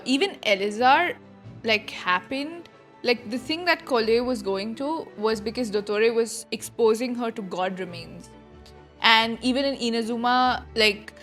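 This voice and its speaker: A woman's voice: on the high side, fairly bright tone. A woman streamer giving casual live reaction commentary on a game, musing aloud informally.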